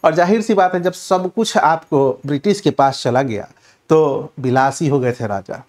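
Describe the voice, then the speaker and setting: A man's voice: a little high, moderate pace, a little mellow. A man giving a question-and-answer revision lecture as an exam coaching teacher, instructive and often repeating check phrases.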